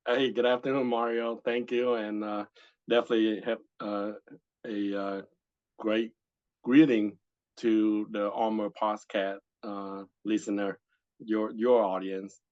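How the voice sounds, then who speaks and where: A man's voice: lowish voice, flat delivery, unhurried, a little mellow, no room sound, dull audio. A man from Vietnam, a retired Marine, answering interview questions politely and hesitantly, with many fillers, over a video call. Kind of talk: casual interview.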